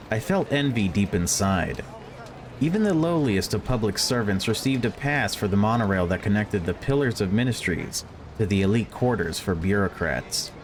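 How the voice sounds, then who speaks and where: A man reading a visual novel aloud as a let's player, mixing expressive narration with wry, casual asides. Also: fairly low pitch, a little hiss or hum. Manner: wry, joking commentary between read passages.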